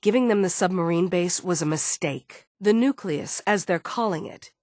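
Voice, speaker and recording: Actress doing a stern female android security chief for a game, lowish voice, guarded n businesslike, really dry sound. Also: normal speed, darkish tone, no echo, lightly acted. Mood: serious, businesslike. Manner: firm, businesslike, serious. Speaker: video game character. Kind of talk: scripted game dialogue.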